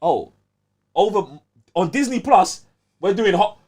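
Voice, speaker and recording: Excitable high-pitched London man. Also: takes it slowly. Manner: excitable, slangy, repeats himself for emphasis. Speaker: podcast host. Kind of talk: animated casual fan chat.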